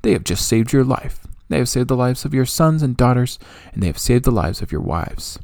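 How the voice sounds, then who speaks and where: A man's voice: mid-range voice, animated, fairly quick, vocal fry, darkish tone, no room sound, clean studio sound, voice pushed up. A man voicing a stern army general, scolding and emphatic, in a storybook reading. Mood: angry rebuke. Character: stern, indignant army general rebuking his king.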